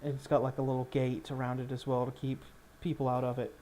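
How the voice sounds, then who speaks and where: A man narrating an improvised tabletop game as game master, halting as he searches for words. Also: medium pitch, level tone, warm tone, a little hiss or hum, rough recording.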